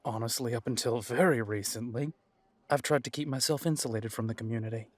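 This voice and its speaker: A man's voice: mid-range voice, a little fast, no echo, natural voice. Man playing a wry, unruffled pack alpha. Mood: dry, sarcastic. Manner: dry, sarcastic, hesitant when weary. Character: wry, tired male werewolf pack alpha, unruffled and protective. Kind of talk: audio drama acting.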